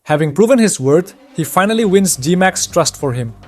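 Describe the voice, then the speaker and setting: A male narrator delivers a scripted video game story recap. His voice sits a bit higher than average, and soft music plays behind him. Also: lively intonation, moderate pace.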